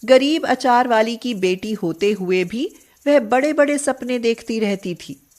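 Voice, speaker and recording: A woman narrating a scripted story in an expressive, dramatic way. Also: a little high, measured pace.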